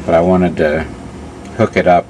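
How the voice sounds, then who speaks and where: A man narrating a casual repair demonstration in a halting, measured way. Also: low voice, little pitch movement, a little mellow, a little hiss or hum. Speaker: hobbyist radio repairer.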